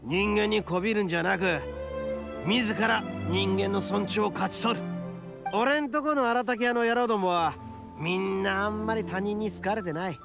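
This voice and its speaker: A young male oni gang boss from an anime game, swaggering and big-hearted. His voice is high for a man and full of gravel. He talks rough and proud, over background music. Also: moderate pace. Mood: earnest, proud. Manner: swaggering, rough colloquial speech. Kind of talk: Japanese anime game voice acting.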